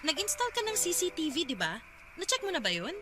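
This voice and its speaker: Lively, blunt Filipino friend. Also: female voice, very high voice, fast, over music, exaggerated voice. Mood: suspicious, matter-of-fact. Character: blunt, suspicious young friend. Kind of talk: Filipino radio drama acting.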